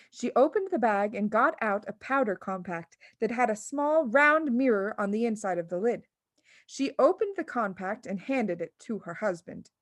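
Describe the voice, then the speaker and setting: A woman reading a children's story aloud as an expressive narrator. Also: a little high, no room sound. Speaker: storybook reader.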